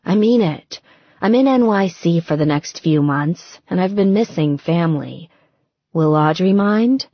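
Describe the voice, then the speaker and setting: A woman voicing a calm, soothing woman with dry humor in an audiobook, in a measured way. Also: lowish voice, no room sound, lo-fi sound. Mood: friendly. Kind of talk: audiobook character dialogue.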